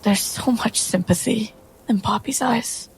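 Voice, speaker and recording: A woman narrating her character's actions in a tabletop game, halting with dramatic pauses. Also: a little high, husky, faint music behind, poor audio. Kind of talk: scene narration in roleplay.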